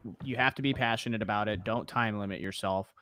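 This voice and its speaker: A man's voice: lowish voice, quick pace, pushed and bright, no room sound, clean studio sound. An American man hosting a casual podcast interview, giving advice in a conversational way. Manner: advice-giving, conversational, many "you know"s. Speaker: podcast host.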